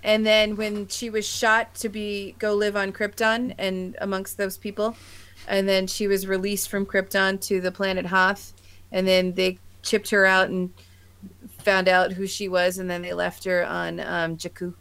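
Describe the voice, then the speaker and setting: A woman's voice: medium pitch, little pitch movement, unhurried, fairly bright tone, smooth. An American woman co-hosting a podcast in casual chat, speaking in an enthusiastic, gushing way. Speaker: podcast co-host. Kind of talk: casual chat about sci-fi and comics.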